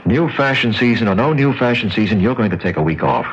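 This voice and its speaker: An actor playing a reassuring, affectionate and sensible fiancé, soothing and a little patronising, in an old-time radio drama. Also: male voice, lowish voice, expressive, fast talker. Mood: patient reasoning. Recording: muffled, hissy radio drama recording.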